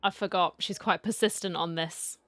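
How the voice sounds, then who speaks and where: A woman's voice: on the low side, steady tone, normal speed, a bit bright. A woman giving casual playthrough commentary as she reacts to a story game, with wry little asides. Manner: wry asides, reading choices aloud. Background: faint game music.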